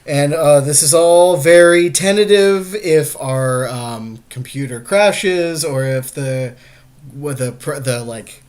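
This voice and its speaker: A man's voice: a little high, slow pace, a little mellow. A man chatting casually as an easygoing, supportive co-host, commenting on a card game as his friend plays. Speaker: podcast co-host advising the player.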